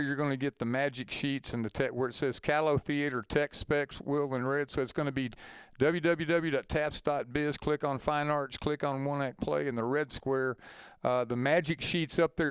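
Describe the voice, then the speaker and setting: A man's voice: mid-range voice, clear, resonant tone, no room sound. A man presenting an informal instructional webinar.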